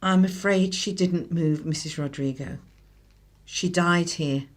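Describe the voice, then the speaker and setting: A woman's voice: on the low side, takes it slowly. An actress playing a harried, sardonic English mother with a dry, scolding manner in a radio drama. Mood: concerned, grave. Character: harried, sardonic working-class mother of a young boy.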